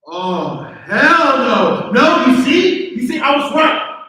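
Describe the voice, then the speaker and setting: A man's voice: very high-pitched, big pitch swings, slow, sharp tone, exaggerated voice. A man playing a jealous, easily worked-up boyfriend in a live script reading, theatrical and exclamatory, recorded in an echoey room. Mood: outraged. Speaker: actor at a table read. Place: indoor event room, live stream. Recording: echoey room, distant mic.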